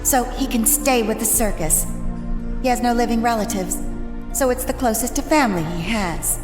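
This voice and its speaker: A woman's voice: on the high side, normal speed, roomy sound, in a large hall, over music, close to an everyday voice. A woman playing a caring, businesslike arena manager in an audio drama. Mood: concerned.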